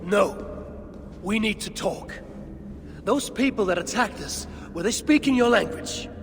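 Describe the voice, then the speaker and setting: An actress playing a blunt, proud Viking warrior woman in a video game cutscene, low-voiced and terse, with a Scandinavian accent. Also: animated, unhurried. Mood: suspicious. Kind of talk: dramatic game cutscene acting. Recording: game audio with music behind.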